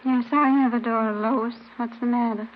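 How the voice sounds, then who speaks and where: Actress doing tired older american mom, kinda high flat voice, plain and resigned, hissy old radio drama. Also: female voice, normal speed, natural voice. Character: small, older, weary mother running a laundry. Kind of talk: 1950s radio drama acting. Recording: muffled, noisy old radio recording.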